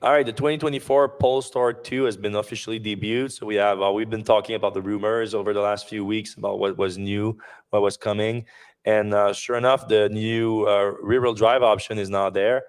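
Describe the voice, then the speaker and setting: A man hosting a casual news podcast, rambling with many fillers and restarts, his voice going hoarse. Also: fairly low pitch, fairly even intonation, fairly quick. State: losing his voice. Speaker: podcast host.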